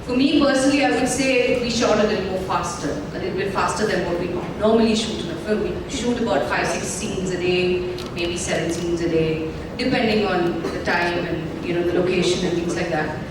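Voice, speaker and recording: An actress answering questions at a press conference in a chatty, upbeat way. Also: female voice, fairly low pitch, fairly quick, recorded from a distance. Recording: echoey hall, mic from afar, noisy.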